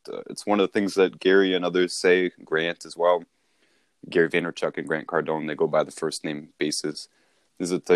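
A man's voice: low-pitched, no room sound, clean studio sound. A man speaking as a sales mentor in an informal training talk, with an instructive, conversational and emphatic way of explaining.

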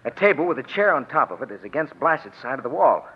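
An actor playing an anxious professional gambler in a jam, explaining urgently in a husky voice on 1940s radio. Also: male voice, medium pitch, very animated, fast. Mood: pleading, afraid. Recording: muffled old radio recording.

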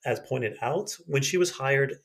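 A man's voice: medium pitch, measured pace, vocal fry, fairly bright tone. A man hosting a podcast, running through news in a conversational, informal way. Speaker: podcast host. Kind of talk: casual news rundown.

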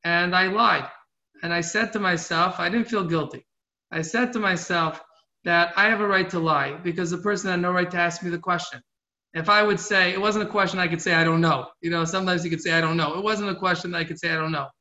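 A middle-aged man giving an informal religious class as a rabbi, taking questions and answering earnestly in a halting way, repeating and rephrasing himself. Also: high voice, steady tone, sharp tone. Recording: muffled, echoey room recording.

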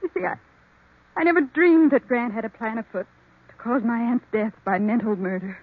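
An American actress playing an anxious, confessing niece in a 1940s radio mystery, earnest and faltering. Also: female voice, on the high side, moderate pace, slightly rough, dry recording. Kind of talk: 1940s radio drama acting. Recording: thin, muffled old radio recording.

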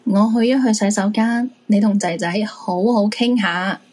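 A woman's voice: mid-range voice, normal speed, darkish tone, natural voice. A woman with a Hong Kong Cantonese accent playing a mother and wife in a radio drama. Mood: casual.

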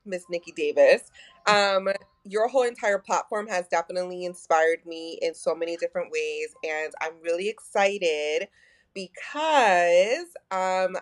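A woman in her thirties with a Texan accent, talking openly as a podcast guest, full of fillers and acted-out quotes. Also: lowish voice. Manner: open, animated, many fillers, acts out quotes. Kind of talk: candid conversational storytelling.

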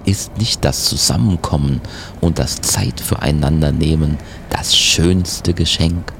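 An extremely low-voiced villain mastermind, acted by a man for an audio play, delivers grand, solemn and sentimental speeches. The voice creaks with gravel, over a clearly noisy backdrop. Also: a little slow, fairly bright tone, exaggerated voice.

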